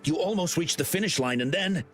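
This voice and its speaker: A man's voice: fairly high pitch, animated, a little fast, lightly acted. A man voicing an earnest young organizer who speaks politely. His mid-range voice moves up and down a lot. Game music plays behind him.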